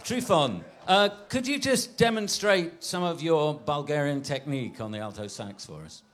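Animated festival stage host, man. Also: on the high side, normal speed. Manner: enthusiastic, animated questioning. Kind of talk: onstage interview.

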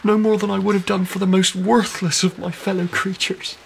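Pious, tearful humble servant. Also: male voice, high-pitched, flat delivery, normal speed, vocal fry, a little hiss or hum. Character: humble, pious, tearful young manservant, fervently devout. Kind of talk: period radio drama acting.

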